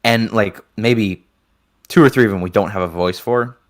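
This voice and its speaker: A man's voice: on the low side, normal speed. A man chatting informally as a podcast guest, readily agreeing and peppering his sentences with filler words. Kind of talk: casual chat.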